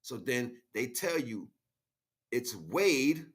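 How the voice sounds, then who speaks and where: An American man giving an emphatic, freestyle spiritual monologue in street slang, repeating phrases as he goes, with a husky voice. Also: medium pitch, sing-song, takes it slowly. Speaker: spiritual livestream speaker.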